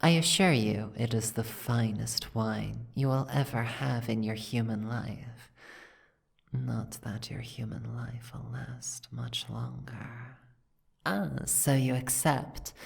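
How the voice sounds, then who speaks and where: A woman playing an imperious, seductive dragon aristocrat in an ASMR roleplay, with languid, commanding, formal speech and a breathy, gravelly voice. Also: rumbling low, takes it slowly, full character voice. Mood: smooth, seductive. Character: imperious seductive female dragon, possessive aristocratic mansion owner. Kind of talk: ASMR roleplay, scripted second-person acting.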